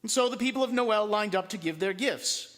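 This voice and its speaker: A man with a high, swooping voice reads a story aloud as a priest would to his congregation. His delivery is dramatic and deliberate, and the sound rings long through a large church. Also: animated, fairly bright tone. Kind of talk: expressive storybook narration to listeners. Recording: long reverberant hall.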